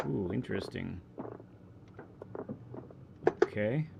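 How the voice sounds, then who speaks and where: Low-voiced man coaching, steady hiss. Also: slow pace, gravelly. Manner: calm, encouraging feedback with short remarks. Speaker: host and driving coach. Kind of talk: live-streamed sim racing coaching.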